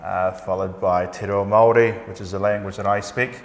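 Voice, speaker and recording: A man from New Zealand with a New Zealand accent, an English teacher chatting casually in a radio interview, friendly and relaxed, laughing and searching for words. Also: lowish voice, a little fast, echoey room, in a large hall.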